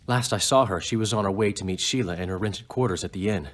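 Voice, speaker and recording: An actor playing a wry, eloquent, cynical bard in a fantasy video game, with a husky voice. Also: male voice, lowish voice, quick pace, lightly acted. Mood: wry, informing. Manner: wry, theatrical phrasing.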